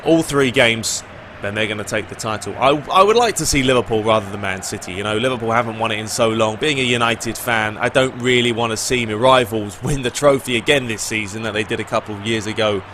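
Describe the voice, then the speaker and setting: A man's voice: medium pitch, a little fast, bright, forceful tone, slight background noise. A man hosting a gaming video, chatting informally and giving his opinions as he answers questions in a casual commentary style.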